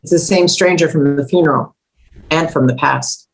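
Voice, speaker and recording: A woman narrates a screenplay at a table read. Her voice sits low to middling in pitch, and she reads the scene directions as scripted narration. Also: moderate pace, gravelly, poor audio.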